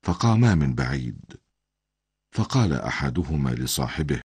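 An older man with a deep, husky voice narrating a religious cartoon story as a grandfather, solemn and formal. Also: big pitch swings, moderate pace, a little mellow, no room sound.

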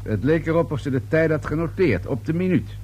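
An actor playing a dry, persistent police inspector in a radio play, questioning in a measured, searching way. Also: male voice, a little high, lively intonation, a little mellow, husky. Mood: probing, dry. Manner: measured questioning with hesitant fillers. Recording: hummy, noisy old radio recording.

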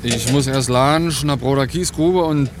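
An actor playing an easygoing, teasing truck driver in a radio drama, talking in a casual, practical way. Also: male voice, a little slow, close to an everyday voice. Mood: practical, bantering. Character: easygoing, bantering male gravel-truck driver. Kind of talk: naturalistic radio drama acting. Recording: noisy, with truck engine rumble. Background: vehicle noise.

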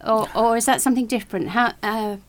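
A woman's voice: a little high, fast talker. A woman hosting a casual podcast conversation, asking questions and chiming in with short affirmations. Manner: inquisitive, brief affirmations. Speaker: podcast host.

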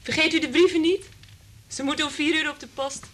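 An actress playing an eager, naive young secretary in a Dutch radio drama, chatty and bubbly. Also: female voice, high-pitched, fairly even intonation, fairly quick, background noise, close to an everyday voice. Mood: eager, chirpy.

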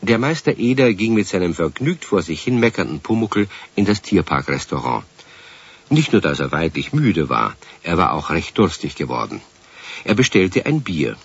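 A man narrating a children's radio play as a leisurely storyteller, in a deep, husky voice. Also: sing-song, moderate pace, full character voice. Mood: storytelling. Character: calm, leisurely male storyteller. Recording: muffled old 1970s radio recording.

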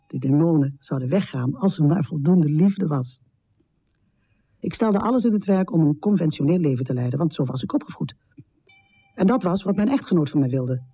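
A woman's voice: rumbling low, husky, no room sound, narrow, tinny audio, full character voice. An actress playing a troubled housewife poet in her thirties, speaking an intimate, confessional radio drama monologue in dramatic phrases. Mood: haunted, reflective. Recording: thin, band-limited radio recording.

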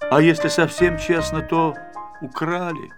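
A man's voice: a little high, flat delivery, unhurried, music in the background, voice pushed up, close to an everyday voice. A man voicing a naive, conscience-stricken little storybook hero, hesitant and earnest, in an audiobook. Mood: guilty, earnest. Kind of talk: audiobook character acting.

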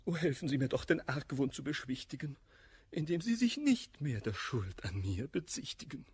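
An actor playing a jealous, hot-tempered, lovelorn gentleman in a classical verse radio comedy, declaiming with impassioned, volatile delivery. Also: mid-range voice, sing-song, moderate pace, slightly rough, exaggerated voice. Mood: pleading, bitter.

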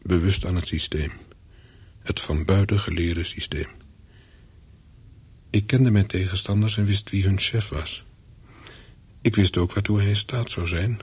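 A calculating, hunted spy tells his own story in a radio play. His voice is low and heavily husky, and he speaks in short, brooding sentences. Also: unhurried, pauses often, a little mellow, exaggerated voice. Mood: watchful. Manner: terse, brooding, clipped sentences. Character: wary, calculating male spy on the run, narrating. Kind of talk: first-person radio play narration with dialogue.

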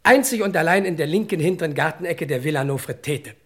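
An actor playing an eager, loyal detective's sidekick, snappy and telegraphic, in an old crime radio play. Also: male voice, a little high, quick pace, breathy edge. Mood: brisk. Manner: telegraphic, snappy deadpan answers. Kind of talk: old German radio crime parody acting. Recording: noisy vintage radio play recording.

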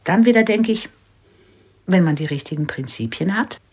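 A low-voiced, earnest would-be killer. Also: female voice, expressive, normal speed, darkish tone, husky. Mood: musing, earnest. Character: Anna Gemini, earnest mother, aspiring principled hired killer. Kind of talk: radio play dialogue.

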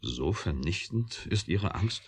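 Husky, deep, impassioned scientist. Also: male voice, big pitch swings, takes it slowly, heavily acted. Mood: urgent pleading. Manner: urgent, argumentative, intense. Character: impassioned, defiant male scientist pleading for human freedom. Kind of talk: German radio drama acting.

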